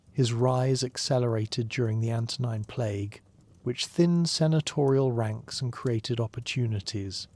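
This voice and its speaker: A man narrating a documentary in a gentle, even, scripted way, with dry asides. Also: medium pitch. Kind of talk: calm scripted sleep narration.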